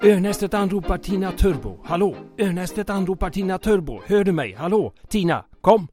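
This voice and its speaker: An actor playing a fussy, nervous town mayor in an old-time radio drama, polite and prone to stammering. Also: male voice, high voice, lively intonation, dark tone, faint music behind. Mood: anxious. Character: anxious, fussy male town mayor secretly aiding resistance.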